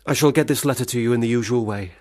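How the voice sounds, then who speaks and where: A male actor plays a young gentleman writer gone to seed, in a period radio drama. He speaks in ornate, pompous phrases with wounded self-regard. The sound is dry and close, at a middling pitch. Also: fast, dry recording, lightly acted. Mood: pompous, morose. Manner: formal, wordy, pompous period diction. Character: Branwell Bronte, failed, self-pitying, gin-drinking young gentleman writer. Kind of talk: radio play monologue and dialogue.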